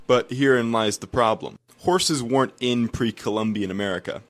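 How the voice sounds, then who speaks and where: A man's voice: mid-range voice, moderate pace. A man narrating a short history explainer clip in a matter-of-fact way.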